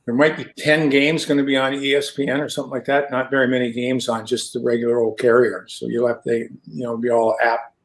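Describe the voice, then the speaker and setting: A man's voice: mid-range voice, little pitch movement, quick pace, few pauses, low-quality recording. A man, a hockey broadcaster, chatting casually and rambling with plenty of fillers on a video call. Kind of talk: casual chat.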